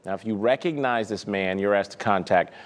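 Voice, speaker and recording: A man anchoring a TV newscast, reading news copy in a steady, formal way. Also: on the low side, a little fast, background noise.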